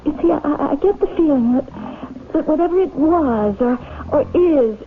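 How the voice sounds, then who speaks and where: An actress playing a troubled married woman haunted by guilt, speaking hesitantly and haltingly in old-time radio drama style. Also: high voice, expressive. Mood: hesitant, despairing. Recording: noisy, tinny old radio drama recording.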